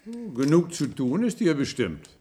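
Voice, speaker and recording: Animated, indignant old professor. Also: male voice, on the high side, unhurried, slightly rough, full character voice. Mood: casually probing. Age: older. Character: old captive professor, bitter, indignant, slyly probing. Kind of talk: German science-fiction radio drama acting.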